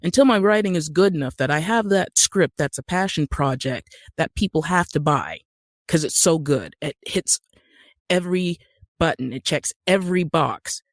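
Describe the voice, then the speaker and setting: Higher-pitched American man in interview. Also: no room sound. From Utah, United States. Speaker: podcast guest, actor and screenwriter. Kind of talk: conversational interview.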